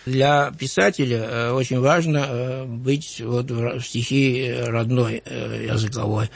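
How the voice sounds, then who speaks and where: A man in his sixties from Georgia with a light Georgian accent, a writer recalling his life in an interview, measured and thoughtful with frequent hesitant fillers. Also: medium pitch, steady tone, gravelly, breathy edge, no room sound. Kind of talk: reflective interview recollection.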